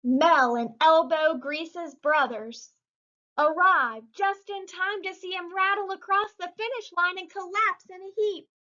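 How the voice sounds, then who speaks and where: A woman reading a children's picture book aloud in an expressive way, with dramatic emphasis. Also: very high-pitched, a little slow. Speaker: story reader. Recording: muffled lo-fi recording.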